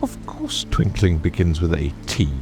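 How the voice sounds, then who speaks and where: A man playing an irritable, pompous king who gives sharp, threatening commands in an audiobook dramatization. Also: low voice, wildly swooping pitch, normal speed, over music. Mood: angry. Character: imperious, irritable king presiding as judge, threatening.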